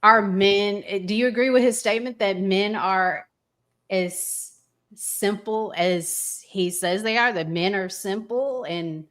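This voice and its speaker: A woman hosting a casual relationship podcast, reflective and pausing to find her words. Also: mid-range voice, fairly bright tone. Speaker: podcast host.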